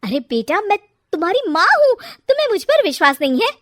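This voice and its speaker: A shrill, domineering middle-aged mother-in-law from a cartoon moral tale, acted by a woman. She speaks very high and indignantly, as if forever wronged, in a dry close recording. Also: big pitch swings, a little fast, a bit bright, dry recording, heavily acted. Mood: hurt, wailing. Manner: scolding, indignant. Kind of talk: cartoon moral-story character voice.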